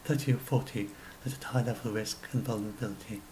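A man's voice: lowish voice, fairly even intonation, fast talker, warm tone, a little hiss or hum, rough recording. A man in his sixties, a science blogger, talking through his notes on his own at home in a rambling way that keeps correcting itself, recorded on a webcam at his desk. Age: 60s. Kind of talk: casual solo commentary. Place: small room at home.

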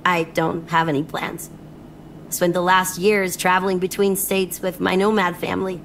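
A woman's voice: fairly low pitch, little pitch movement, normal speed, fairly bright tone, slight background noise, low-quality recording. An AI-generated woman's voice playing a tough, guarded young nomad mercenary in video game dialogue, speaking curtly and matter-of-factly.

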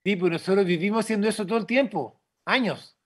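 A man speaks casually over a video call. His delivery is halting, with words often repeated. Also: high-pitched, breathy edge. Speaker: theatre company director.

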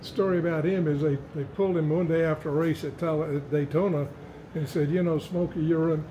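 Older man, tour guide, slightly high voice, folksy yarns, noisy hall behind. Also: steady tone, warm tone. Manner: folksy storytelling, halting restarts. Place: large indoor museum hall. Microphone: camera held by a visitor nearby.

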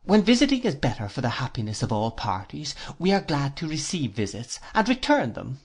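A narrator voicing a prim, dignified elderly spinster aunt who speaks in a formal, measured, pompous way. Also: mid-range voice, sing-song, husky. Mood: prim, stately.

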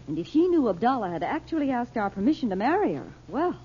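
Worried mom in old radio play, high lively voice, argumentative. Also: female voice, fast. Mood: worried, doubting. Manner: fretful, argumentative. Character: anxious, doubting American mother on a desert journey. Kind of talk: 1930s-40s radio acting. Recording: muffled, noisy old radio recording.

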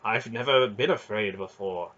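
A man's voice: on the low side, moderate pace, fairly bright tone, muffled recording. A man voicing a brooding noble knight who speaks in an archaic, earnest and declaiming way. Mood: troubled, solemn. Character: brooding noble male knight, formal and solemn.

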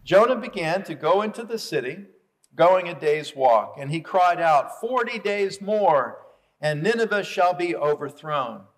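A middle-aged man preaching a sermon from the pulpit of a small chapel, deliberate and fond of rhetorical questions, heard from a far camera. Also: sits high, animated. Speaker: chaplain. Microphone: far camera, speaking from pulpit.

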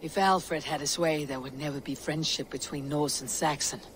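A man playing a stoic, steadfast Viking warrior leader in a video game, speaking in a measured, solemn way. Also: on the high side, fairly even intonation, a bit bright, slight background noise, lightly acted. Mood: calm, grave. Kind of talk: dramatic video game cutscene acting.

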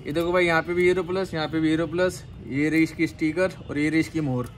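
A young man vlogging on an outdoor rooftop, chatting casually and excitedly while flying a kite. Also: a little high, fast talker, a little hiss or hum.

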